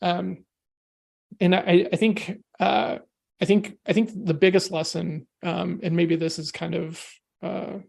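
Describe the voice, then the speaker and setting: American man guest, gravelly, monotone delivery, chatty and energetic. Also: high voice, measured pace. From United States. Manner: energetic, rambling, peppers talk with "right?" and "you know". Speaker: podcast guest. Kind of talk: casual discussion.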